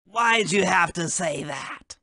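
A very high, bright, nasal voice for a male turtle in a video game. He is a bookish, anxious tech whiz with a fussy way of talking. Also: slow, creaky, dry recording, clean studio sound, exaggerated voice. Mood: fussy, nervous.

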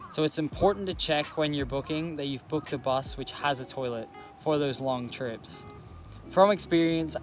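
A man reading a scripted voice-over for his travel vlog, reviewing a trip in a measured, matter-of-fact way. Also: mid-range voice. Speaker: travel vlogger.

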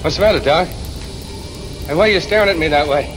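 A man's voice: fairly high pitch, fast talker, music in the background, close to an everyday voice. An actor playing a plain-spoken, sceptical, good-natured local man, folksy, in a 1940s radio play. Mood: puzzled, doubtful. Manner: folksy, colloquial. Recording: noisy old radio recording, rain-like hiss.

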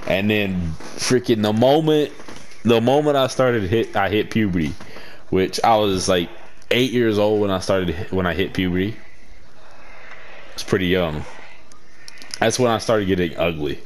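A young man with a fairly deep voice, chatting casually and rambling while playing a game, slangy and self-mocking. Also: a little slow, pauses often, a little hiss or hum. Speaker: gaming channel co-host.

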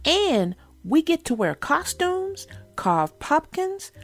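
A woman voicing a cheerful, excitable young girl hippo, an eager and encouraging big sister in a storybook. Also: sits high, slow, soft background music, voice pushed up, heavily acted. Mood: excited.

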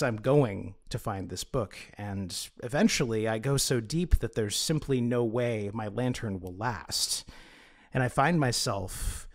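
A man playing a wry, obsessively determined gentleman book-hunter in tabletop roleplay, telling his tale theatrically. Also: medium pitch, vocal fry. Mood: determined, dramatic. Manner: wry, theatrical, repetitive build-up. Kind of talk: in-character tabletop roleplay storytelling.

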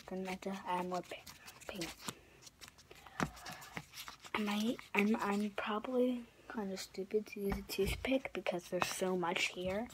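A young woman with a raspy, often whispery voice, rambling through a casual craft tutorial with lots of fillers and restarts, filmed close up on a phone camera in her bedroom. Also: medium pitch. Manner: rambling, filler-heavy, self-correcting. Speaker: craft vlogger. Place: small bedroom indoors. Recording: noisy home recording.

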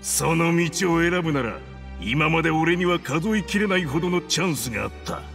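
Gravelly, defiant desert warlord. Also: male voice, fairly high pitch, expressive, normal speed, a bit bright. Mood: grim, proud. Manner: theatrical, declamatory, rough-spoken. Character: defiant male desert fanatic warband leader, grim and proud. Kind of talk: dramatic video game voice acting. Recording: long reverb, music underneath. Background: game music.

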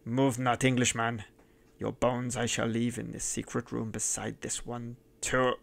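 A man's voice: mid-range voice, monotone, measured pace, vocal fry. A man voicing a mad, vengeful male innkeeper who roars and laughs wildly. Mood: mad menacing. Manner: roaring, laughing wildly, mumbling.

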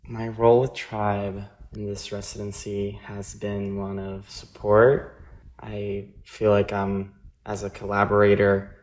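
A young man from Costa Rica, a dancer, reflecting in a searching way with frequent pauses and fillers. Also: lowish voice, completely flat delivery, darkish tone. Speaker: dancer and collaborator. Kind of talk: reflective interview talk.

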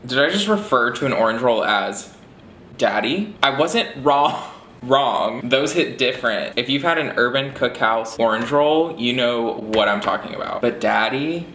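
A man's voice: mid-range voice, animated, measured pace, a bit bright, a little hiss or hum. A man of twenty-one giving wry, self-mocking commentary on an old video of himself, filmed at a kitchen counter. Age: early 20s. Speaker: vlogger reacting to an old video. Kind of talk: casual reaction commentary. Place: kitchen in an apartment. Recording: roomy kitchen echo.

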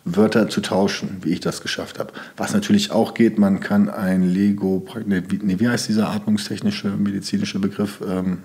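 A man's voice: fairly low pitch, level tone, moderate pace, hardly any pauses, dark tone. A young man from Germany, speaking as a podcast guest in loose, rambling sentences full of fillers. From Germany (Sauerland). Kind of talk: casual interview talk.

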